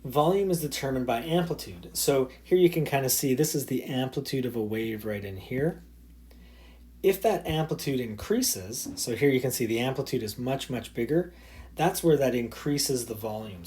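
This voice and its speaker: A man teaching a physics lesson in a recorded slide talk. He keeps it loose and chatty, full of ums and small restarts. His voice sits at an ordinary middle pitch. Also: lively intonation, normal speed, a little mellow. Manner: casual, loose explaining with many fillers. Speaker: high school physics teacher.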